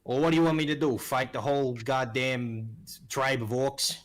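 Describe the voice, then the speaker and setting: A man's voice: medium pitch, steady tone, normal speed, close to an everyday voice. A man playing a blunt, sweary, world-weary ex-slave adventurer in a tabletop game. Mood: defensive. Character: bitter male ex-slave adventurer, afraid of orcs.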